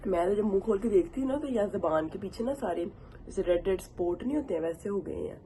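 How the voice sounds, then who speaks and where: A woman's voice: medium pitch, fast talker, slight background noise, rough recording. A young woman vlogging casually to her phone camera, chatty and complaining, with a sore throat from the flu. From Pakistan. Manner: chatty, complaining, animated when ranting. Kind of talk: casual vlog chat to camera.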